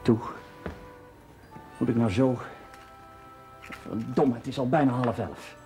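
An actor playing a brisk, curt mining boss in a naturalistic 1970s television drama. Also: male voice, mid-range voice, warm tone, faint music behind. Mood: irritated.

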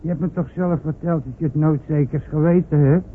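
A man's voice: on the high side, steady tone, a little fast, a little hiss or hum, natural voice. Stammering old village man, played by an actor, tinny recording. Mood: urgent, earnest. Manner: stammering, hesitant. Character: timid, bumbling old villager, nervously loyal. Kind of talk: radio drama acting.

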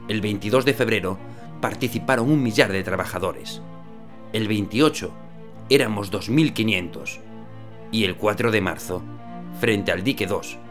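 A sober, weary shipyard worker, acted by a man, speaks in a low voice with a Spanish accent. He tells his account steadily, and faint music plays beneath him. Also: natural voice. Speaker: shipyard worker interviewed by phone. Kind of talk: radio drama testimony.

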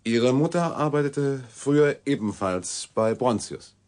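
A man's voice: medium pitch, expressive, unhurried, a little mellow, lightly acted. An actor playing a newspaper reporter in a radio drama, dry and wry, politely but persistently pressing with his questions. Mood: probing. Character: probing, dryly wry male newspaper reporter. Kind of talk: German radio drama acting.

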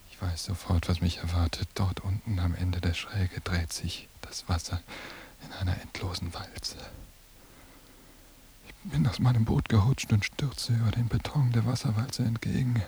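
A man's voice: low voice, steady tone, moderate pace, a little mellow, slight background noise. An actor playing a fearful young man caught in a nightmarish vision, narrating his inner thoughts in a haunted, intense radio monologue. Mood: tense, hushed. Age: young adult. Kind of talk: literary radio drama monologue.

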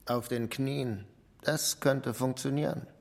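A man's voice: medium pitch, fairly even intonation, slow pace, vocal fry. A man playing a mocking small-town club crony in an audio drama, droll and teasing. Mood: mocking, amused.

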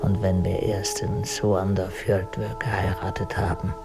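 A husband in a radio play, with a low, heavily creaking male voice. He is stiffly dignified and evasive, answering in formal deadpan over clearly audible music. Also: unhurried. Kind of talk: German radio drama acting.

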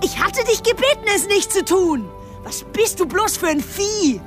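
A fierce young girl in a radio play, protective and urgent. Her voice is extremely high and lively, with clear music behind it. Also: normal speed. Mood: furious, urgent. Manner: urgent, commanding. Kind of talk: radio drama acting.

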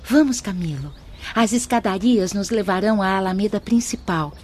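A woman's voice: mid-range voice, normal speed, a little mellow, lightly acted. A woman playing a helpful, devout spirit guide in a radio drama, formal and reverent. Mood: serene, welcoming.

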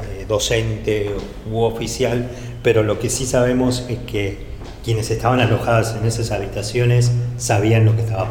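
Guía argentino de voz grave, con mucho eco. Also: male voice, lowish voice, a little mellow. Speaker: guide at a memorial site. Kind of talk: explanatory guided tour, spontaneous speech. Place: indoors, large building stairwell while walking. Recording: echoey stairwell and halls, background noise.

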